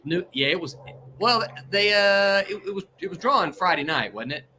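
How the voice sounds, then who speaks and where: A chatty man with a bright, forceful voice pitched a little high talks loudly and laughs often. He is on a video call from an outdoor balcony, with a faint hiss of noise behind him. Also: lively intonation, moderate pace. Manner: loud, laughs often, reads viewer comments aloud. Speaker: podcast co-host. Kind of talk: casual livestream chat. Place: outdoor second-floor balcony. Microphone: earbuds on a video call.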